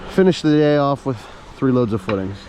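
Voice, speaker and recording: A man's voice: medium pitch, animated, brisk, darkish tone. Chatty Canadian man on a noisy job site. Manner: chatty, easygoing, jokey. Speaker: concrete pump operator. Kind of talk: casual on-the-job vlog narration. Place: outdoor construction site. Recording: noisy outdoor site with machinery. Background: running pump and machinery.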